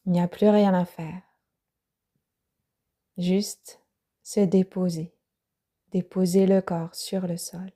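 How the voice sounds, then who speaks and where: A woman yoga teacher guiding a yoga nidra relaxation, speaking softly with breathy, half-whispered phrases. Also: on the low side, little pitch movement. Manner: slow, soft-spoken guidance with breathy, half-whispered phrases.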